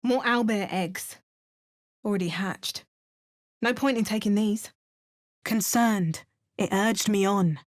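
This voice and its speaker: An actress voicing a wry, bookish adventuring heroine with a British accent, speaking game voice lines and spell words. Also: female voice, mid-range voice, slow, pauses often, lightly acted. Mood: narrating. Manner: dry, wry remarks and chanted spell words. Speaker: player character voice lines.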